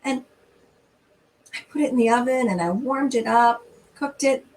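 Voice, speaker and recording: A middle-aged woman hosting a church storytime, talking informally and reading aloud in an expressive, conversational way straight to her listeners, recorded slightly away from the mic. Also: on the high side, moderate pace, distant mic, slight background noise, rough recording.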